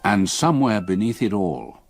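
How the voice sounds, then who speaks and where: An actor playing a haunted English gentleman who narrates his ordeal in a grave, measured, literary style for radio drama. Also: on the low side, big pitch swings, faint music behind. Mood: grave, ominous.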